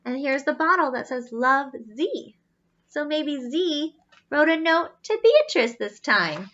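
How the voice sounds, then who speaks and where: A woman reads a picture book to young children with a high, very animated voice. She takes her time, explaining and wondering aloud as she goes. The recording sounds slightly dull. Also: measured pace. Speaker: children's storybook reader. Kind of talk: animated read-aloud with asides to young listeners.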